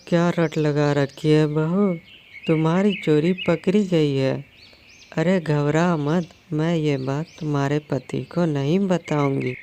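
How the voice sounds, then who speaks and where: A man voicing a scheming, nagging mother-in-law sparrow who bosses and taunts her daughter-in-law in a high, strained voice. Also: dark tone, a little hiss or hum. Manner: bossy, taunting, sly.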